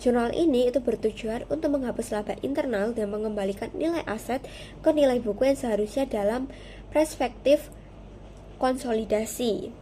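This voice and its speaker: A young woman with a mellow, fairly high voice. She delivers a prepared student presentation, formal and methodical, moving step by step. Some background noise sits behind her. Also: normal speed, poor audio. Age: young adult.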